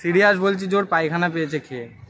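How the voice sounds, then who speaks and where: A man's voice: high voice, quick pace. A young man with a West Bengal accent making casual prank calls, cheeky and straight-faced in his insistence. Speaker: prank caller and video host. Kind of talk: casual prank phone call chat. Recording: loud music under the voice. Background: music.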